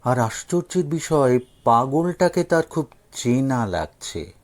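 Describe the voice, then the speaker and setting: A man's voice: mid-range voice, big pitch swings, takes it slowly, darkish tone. A man reading a scripted story expressively as an audio story narrator.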